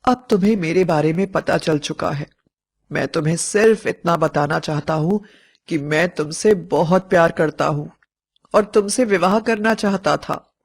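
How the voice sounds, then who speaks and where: A woman's voice: fairly low pitch, normal speed, slightly rough. A woman voicing a sorrowful, lovesick young male ghost in a storybook, speaking with earnest, mournful confession. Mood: tender, pleading. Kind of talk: storybook narration dialogue.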